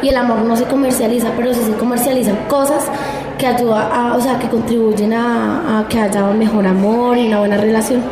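A woman's voice: fairly high pitch, fairly even intonation, brisk. A woman giving casual opinions as a vox pop interviewee. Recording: echoey space, loud crowd. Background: loud crowd.

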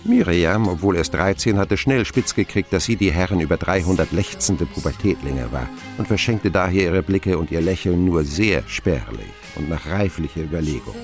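Radio drama narrator: wistful man looking back on boyhood, gravelly, highly expressive. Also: moderate pace, few pauses, over music. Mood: wry. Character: grown man wistfully recounting his abandoned boyhood.

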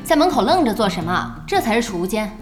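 An actress in a Chinese audio drama playing a brisk, bossy young woman who runs an internet cafe, speaking directly. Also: a little high, sing-song. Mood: brisk, businesslike. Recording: music and crowd sounds behind.

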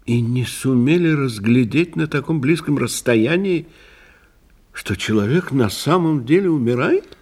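An actor in a radio play voicing a genial, shrewd police inspector, chatty and avuncular, with musing hums and repeated words. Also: male voice, mid-range voice, animated, measured pace, a little mellow. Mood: musing, inquisitive.

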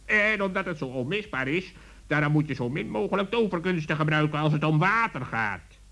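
A man's voice: a little high, lively intonation, a little fast, creaky, bright, forceful tone, exaggerated voice. A man playing a cheeky, impatient forest animal in a children's radio play, with a teasing, mocking manner. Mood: chatty.

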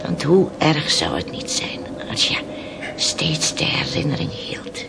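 An actress playing a weathered, resigned fisherman's widow in a radio play, telling her story haltingly in hushed tones. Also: sits low, huge pitch swings, creaky, in a whisper, heavily acted. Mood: sorrowful, hushed. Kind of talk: Dutch radio play acting. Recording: noisy old radio play recording.

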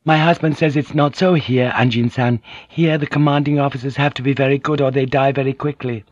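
A man's voice: medium pitch, fairly even intonation, fairly quick, no room sound, highs cut off, pitched above the performer's own voice, close to an everyday voice. A man voicing a polite, squeamish Japanese noblewoman who speaks courteously and hesitantly in an audiobook. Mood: polite, inquiring.

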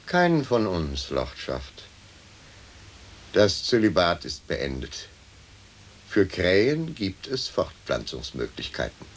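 Deferential butler, formal and dry, measured pace, widely swinging pitch, radio drama. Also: male voice, wildly swooping pitch, full character voice. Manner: formal, measured, drily matter-of-fact. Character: dry, deferential manservant turning into a crow. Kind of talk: stylized German radio play acting.

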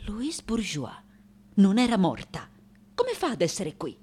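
An actress playing an exasperated, grumbling woman interpreter with an Italian accent, hurried and muttering weary asides in a radio play. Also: mid-range voice, wildly swooping pitch, dark tone. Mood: puzzled.

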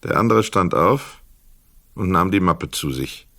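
A man narrating a literary crime radio play as a sober storyteller, measured, precise and dramatic. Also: deep voice, expressive, vocal fry, fairly bright tone, dry recording, studio quality, exaggerated voice.

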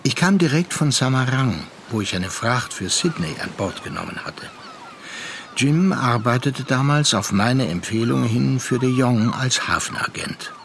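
An actor playing a reflective sea captain, narrating a literary radio drama in a measured way. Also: male voice, mid-range voice, creaky, fairly bright tone, slight background noise, heavily acted. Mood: pensive. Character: reflective seafaring captain recounting a past affair.

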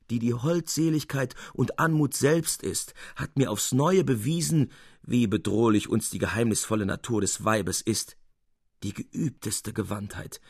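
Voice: medium pitch, no echo. A male narrator takes on a worldly, sceptical friend in a classic tale. He speaks eloquently and confidingly, with brooding emphasis. The recording is close and dry. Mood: sceptical, admiring.

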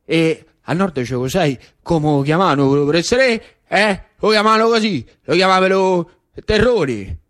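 A man playing an eager, indignant schoolboy in a radio monologue, with a Roman accent, halting and repeating insistent questions. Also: sits high, lively intonation, a bit bright. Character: eager, indignant schoolboy proud of migrant grandfather.

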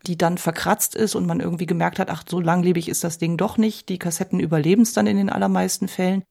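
A woman's voice: fairly low pitch, level tone, fast, vocal fry, warm tone. A woman talking reflectively in an interview as a book author.

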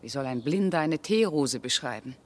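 Self-possessed lady, sharp-witted, articulate, radio crime play, widely varied intonation. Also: extremely low pitch, animated, normal speed. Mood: composed, challenging. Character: composed, sharp-witted woman pianist living alone. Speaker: actress playing a woman questioned by police. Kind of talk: German radio crime drama acting.

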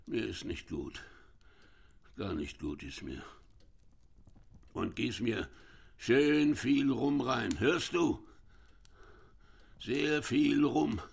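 An actor playing a bitter, ailing old dike reeve in a German radio drama, gravelly and husky, halting and brooding as he speaks. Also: medium pitch, full character voice. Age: older. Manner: slow, brooding, halting with repeated words.

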